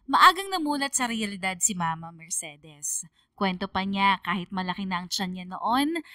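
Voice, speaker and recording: A woman's voice: mid-range voice, dry recording, clean studio sound. A woman giving scripted, dramatic narration of a story for radio. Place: dry studio. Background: soft music.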